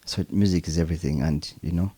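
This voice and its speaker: Ugandan male percussionist, Luganda native, husky low voice, easygoing with churchy asides, dry studio sound. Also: steady tone, fast, warm tone, no echo. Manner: chuckling, preacherly asides, demonstrates instruments. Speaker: percussionist guest. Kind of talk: relaxed interview conversation.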